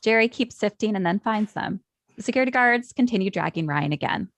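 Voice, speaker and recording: A woman's voice: medium pitch, expressive, a little fast, no room sound. A woman reading scripted stage directions as narrator at a table read.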